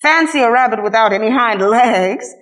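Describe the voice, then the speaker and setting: A woman as a brash, high-pitched wild rabbit. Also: expressive, normal speed, fairly bright tone. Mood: mocking. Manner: brash, taunting, exclaiming. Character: bold, boastful, teasing young male wild rabbit.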